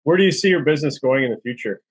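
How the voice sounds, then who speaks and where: A man's voice: mid-range voice, lively intonation, rapid-fire, husky, no room sound. A man hosting a podcast, asking questions in a casual conversational way. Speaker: podcast host, interviewer.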